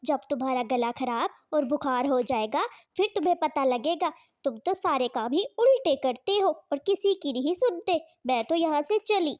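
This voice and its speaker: A very high-pitched cartoon mother bird, played by a woman. She sounds motherly and fretful, quick to admonish and full of care. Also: animated, darkish tone. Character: caring, scolding female bird, mother and neighbour.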